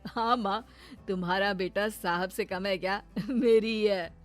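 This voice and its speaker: A woman's voice: medium pitch, steady tone, unhurried, faint music behind, lightly acted. A woman voicing a proud, cheerful young village husband in a story, hearty and teasing.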